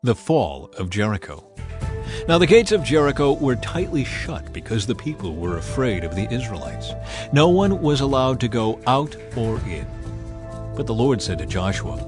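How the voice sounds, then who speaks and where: A man's voice: medium pitch, sing-song, normal speed. Man narrating solemn scripture over music. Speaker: narrator of a dramatized audio Bible. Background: background music.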